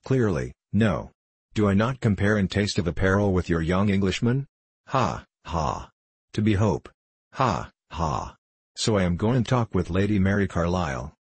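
Male narrator as young French gambler, storybook character, French accent, husky, sly, courtly, broken English, dry close sound. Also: deep voice, big pitch swings, moderate pace, no room sound, exaggerated voice. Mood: gaily boastful. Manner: broken English, courtly, theatrical chuckling asides. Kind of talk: audiobook character voice.

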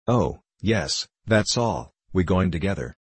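A man's voice: low voice, expressive, takes it slowly, husky, no echo, exaggerated voice. A man voicing a young French gambler in an audiobook, with a French accent and broken English, courtly, taunting and self-assured. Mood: smoothly insistent. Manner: broken English, courtly, theatrical chuckling asides. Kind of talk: audiobook character voice.

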